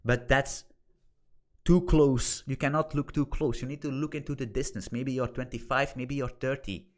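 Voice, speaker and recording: A man's voice: mid-range voice, normal speed. A man in his thirties whose first language is Dutch, teaching an informal online course in a blunt, swearing manner and repeating his points for emphasis. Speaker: course instructor. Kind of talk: informal screen-share lesson.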